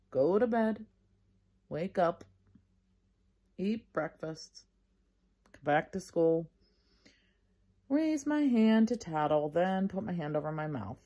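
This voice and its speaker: A woman's voice: medium pitch, warm tone. A woman voicing a grumpy, bossy little first-grade tattletale in a storybook, emphatic and indignant.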